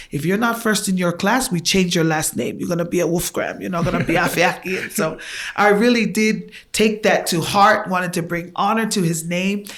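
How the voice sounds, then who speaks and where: A middle-aged woman from Tonga whose first language is Tongan, a podcast guest earnestly and reflectively recounting her upbringing. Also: fairly low pitch. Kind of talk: podcast interview, recounting childhood.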